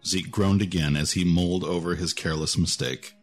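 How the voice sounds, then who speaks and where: A man's voice: deep voice, fairly even intonation, fairly quick. A man narrating a scripted fantasy story as the narrator of an audio drama. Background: music.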